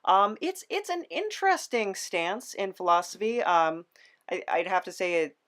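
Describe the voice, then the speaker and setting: A podcast host in casual conversation, explaining things in a halting, thoughtful way. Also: mid-range voice, expressive, a bit bright.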